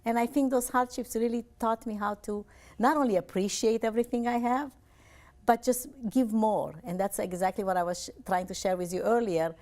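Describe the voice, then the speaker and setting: A woman's voice: on the high side, brisk, darkish tone. A woman from Romania, a life coach giving an earnest, upbeat television interview and often repeating her phrases.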